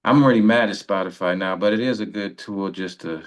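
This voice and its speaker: A man's voice: low voice, brisk. A man hosting a radio interview in casual, rambling chat, often breaking off and rephrasing his sentences. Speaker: radio show host.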